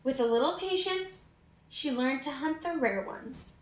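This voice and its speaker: A woman's voice: high-pitched, lively intonation, unhurried. A woman reading a picture book aloud expressively for young children, engaging them as she goes. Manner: prompts young listeners with questions. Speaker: children's storybook reader. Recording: roomy, echoey sound.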